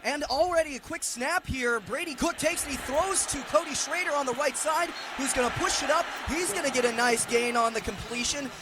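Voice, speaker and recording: A very high-pitched man delivers live radio sports commentary over clear background noise. He follows the action excitedly and breaks into shouts when the play gets big. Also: brisk, hardly any pauses. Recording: clearly noisy live broadcast sound.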